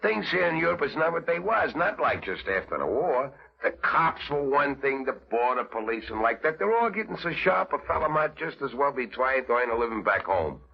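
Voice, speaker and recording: An actor playing an anxious, uneducated small-time smuggler in street slang, in a 1950s radio drama. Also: male voice, mid-range voice, sing-song, normal speed, vocal fry, hardly any pauses, a little hiss or hum. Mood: worried, grumbling. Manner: ungrammatical underworld slang. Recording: muffled lo-fi old radio recording.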